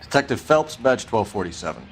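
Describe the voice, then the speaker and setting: Stern detective, animated delivery. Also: male voice, lowish voice, fairly quick, a little hiss or hum. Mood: brisk, official. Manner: formal, insistent questioning. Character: stern, pressing male police detective, 1940s. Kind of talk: dramatic video game dialogue.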